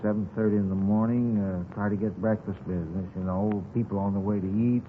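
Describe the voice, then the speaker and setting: An American actor playing a chatty, folksy newsstand owner who rambles off the point, in 1950s radio drama style. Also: male voice, lowish voice, steady tone, fairly quick, lightly acted. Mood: excitable, rambling. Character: chatty, rambling newsstand owner, a married man witnessing a shooting. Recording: noisy, tinny 1950s radio recording.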